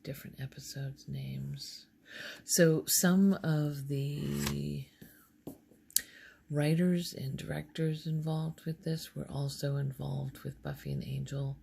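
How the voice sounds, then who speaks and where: Female ASMR host: unhurried, hushed and whispery, casual rambling delivery, crackly vocal fry, faint noise behind. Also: low-pitched, fairly even intonation. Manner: hushed, often slipping into whispering. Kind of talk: soft-spoken ASMR, casual rambling show-and-tell.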